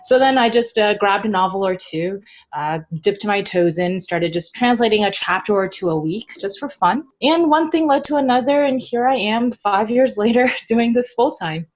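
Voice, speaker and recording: A woman in her thirties telling her own story as a podcast guest, casual, animated and self-joking. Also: mid-range voice, normal speed. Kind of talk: casual chat, personal storytelling. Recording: slightly dull, lo-fi audio.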